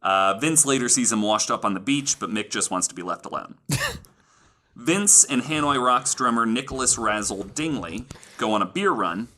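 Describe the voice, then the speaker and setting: A man's voice: mid-range voice, animated, moderate pace, pushed and bright. A man hosting a casual podcast, telling stories from his notes in an informal, amused, sweary way. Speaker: podcast host.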